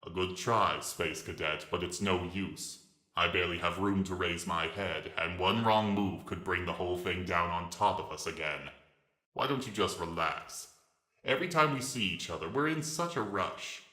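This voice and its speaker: A huge reptilian villain, speaks with mocking courtesy and grand self-importance in a sci-fi audio drama. The voice is very low, with a forceful edge, in an echoing recording. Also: male voice, moderate pace. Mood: sardonic, resigned. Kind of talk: dramatic reading of a sci-fi story. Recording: echoing, reverberant voice track.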